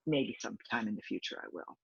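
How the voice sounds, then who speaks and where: A woman in her fifties, a singer with a low, deep voice, chatting in an interview and rambling with many restarts. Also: quick pace, vocal fry, slightly rough, dry recording, muffled recording. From Canada. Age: 50s. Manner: rambling, talkative, frequent restarts. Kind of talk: casual interview chat.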